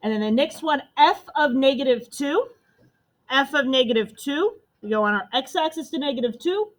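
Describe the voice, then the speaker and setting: Loud-voiced woman teaching a math lesson. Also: high voice, lively intonation, normal speed. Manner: step-by-step explaining to students.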